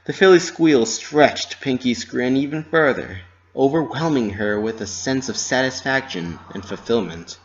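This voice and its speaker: A man with a mid-pitched voice narrates a story in a dramatic reading style. The sound is a little dull and lo-fi, like a home recording. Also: measured pace.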